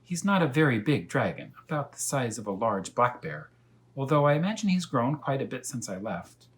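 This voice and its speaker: A man's voice: mid-range voice, sing-song, moderate pace, pitched above the performer's own voice, lightly acted. A man voicing a talkative female cat who tells her tale earnestly and without hurry in a children's storybook. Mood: fond, proud. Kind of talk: children's storybook read-aloud.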